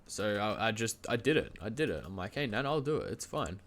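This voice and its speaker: A man's voice: lowish voice, sing-song, fairly quick, fairly bright tone. A young man streaming from home, standing and shifting around at his desk mic. He has a cold, so his voice comes out nasal and congested. He rambles through his story in a casual, chatty way, trips over his words and keeps breaking into little laughs. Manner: loose and chatty, lots of fillers and restarts, laughs mid-sentence; standing and moving around while talking.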